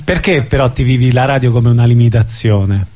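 A man interviewing casually on radio, halting as he searches for words. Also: medium pitch, mellow, a little hiss or hum.

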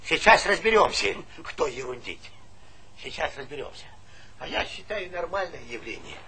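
A man's voice: fairly high pitch, very animated, normal speed, pushed and bright. An actor playing a talkative, stubborn old village grandfather in a stage comedy, folksy and argumentative, repeating himself. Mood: cocky. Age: older. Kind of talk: theatrical stage comedy acting. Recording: noisy 1970s theatre play recording.

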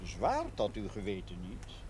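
An actor playing a learned, genial village schoolmaster, formal and gently teasing, in a television period drama. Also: male voice, on the low side, wildly swooping pitch, a little slow. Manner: formal, dryly witty. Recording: noisy old TV soundtrack.

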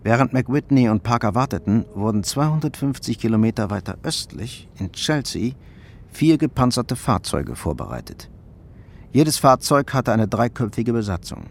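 A man's voice: medium pitch, big pitch swings, moderate pace, gravelly, slight background noise. A man reading scripted narration as the storyteller of a radio crime drama.

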